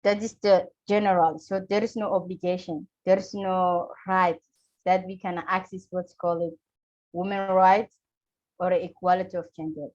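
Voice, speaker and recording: Woman from Somalia, earnest, halting English, muffled lo-fi call audio. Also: fairly low pitch, flat delivery, a little mellow. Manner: earnest, halting non-native English with pauses. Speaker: webinar speaker, refugee community leader. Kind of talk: online webinar talk.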